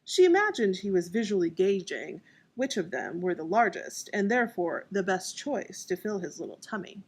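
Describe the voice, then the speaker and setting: A woman's voice: mid-range voice, big pitch swings, moderate pace, breathy edge. A woman narrating as an author and storyteller. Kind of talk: scripted audiobook narration.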